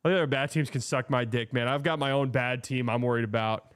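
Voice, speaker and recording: Man hosting a live stream, blunt and profane, smooth clean voice, middle pitch. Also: fairly even intonation, fast, fairly bright tone. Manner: blunt, profane, reads and answers chat. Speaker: streamer hosting a watch party. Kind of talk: casual live game-watching chat.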